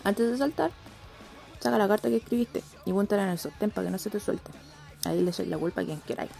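A Chilean woman acting a brash, cynical teenage schoolgirl who gives slangy instructions in a radio play, with a creaky voice. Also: medium pitch, fast, mellow, faint music behind, close to an everyday voice. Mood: cynical, bossy. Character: brash teenage schoolgirl coaching a dramatic suicide.

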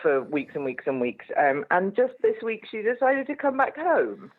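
A woman's voice: medium pitch, sing-song, normal speed, husky, phone-quality sound. A woman guest on a casual phone chat, bubbly and playful, often breaking into laughter.

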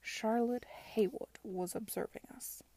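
A woman voicing a bitter, defensive young gentleman in an audiobook, confessing in a halting, grudging way. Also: a little high, steady tone, husky, whispered. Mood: bitter, hurried. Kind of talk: audiobook character dialogue.

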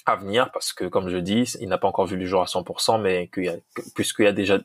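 A low-pitched man from Congo, a football coach, talks in an earnest, halting way, circling back and repeating himself. His first language is Lingala. The sound is dry and close, with no room around it. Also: fairly even intonation, dry recording. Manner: earnest, repeats himself, many restarts and fillers. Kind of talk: casual podcast interview.

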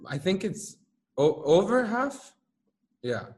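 A man's voice: high-pitched, big pitch swings, slow pace, a little mellow. A man with an American accent, a journalist and podcast guest, talking candidly and rambling with many fillers and restarts over a remote call. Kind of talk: candid casual conversation.

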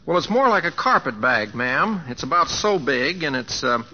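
A folksy young cowboy drifter in an old radio play, with a very sharp, high-set voice and a Western drawl. He is polite and a little hesitant, on a dull vintage broadcast recording. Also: very animated, moderate pace, a little hiss or hum, heavily acted. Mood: polite, bashful. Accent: American West drawl. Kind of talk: 1950s radio drama acting.

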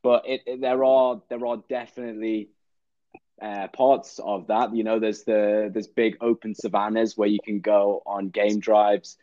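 Young guy, scouse-mix, lowish voice, stops and restarts a lot, kinda muffled. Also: no echo. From England. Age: young adult. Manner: relaxed, halting, repeats words, dry jokes. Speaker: guest actor. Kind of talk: casual interview chat.

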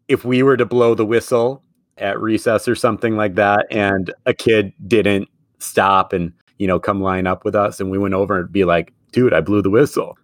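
A low-voiced man co-hosting a casual sports podcast, chatty and quick to laugh. His voice sits close and dry, with no room sound around it. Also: steady tone, dry recording. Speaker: podcast co-host; middle school teacher.

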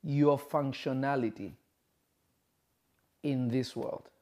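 A man preaching in an emphatic way, repeating his phrases and slowing down to stress his points. His voice is mellow and warm, and it sits at an ordinary pitch for a man. He delivers the sermon to a live online audience and greets viewers by name between points. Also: steady tone. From Kenya. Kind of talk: livestream sermon reading viewer comments.

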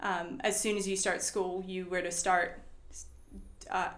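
A woman's voice: on the low side, level tone, a little slow, echoey room. A young woman from Sweden who grew up partly in the States, speaking English with an American accent. Her native language is Swedish, and she chats in a rambling way with many fillers and restarts. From Sweden and the United States. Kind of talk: casual chat.

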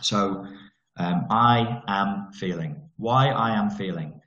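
Slangy low-voiced man coaching. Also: slow, a bit bright, lo-fi sound. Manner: blunt, slangy, joking. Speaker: self-development coach. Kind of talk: casual monologue lesson. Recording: echoey room.

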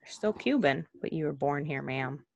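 A woman's voice: sits low, animated, moderate pace, gravelly, dry recording. A young woman co-hosting a podcast, chatting casually, laughing often and trailing off with ums. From Cuba. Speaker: podcast co-host.

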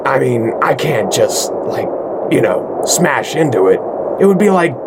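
A man playing a hesitant, slow-witted rock strongman superhero in a comedy audio drama, halting and awkward. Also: medium pitch, sing-song. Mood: sheepish, awkward. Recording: loud background noise.

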